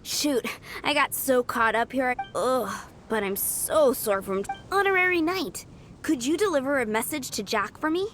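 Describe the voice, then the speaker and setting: A woman's voice: high-pitched, lively intonation, normal speed, noisy background. A woman voicing an earnest, modest young girl who trains to be a knight in a video game. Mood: apologetic. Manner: earnest, enthusiastic. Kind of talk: video game character voice acting.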